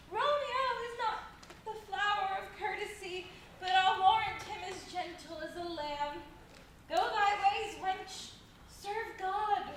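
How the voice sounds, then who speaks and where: Echoey, distant recording. Woman acting weary old nursemaid for stage Shakespeare, very high voice, complaining and teasing in drawn-out groans. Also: a bit bright, full character voice. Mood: teasing, exasperated. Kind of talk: amateur Shakespeare play rehearsal. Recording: distant, echoey room with faint noise.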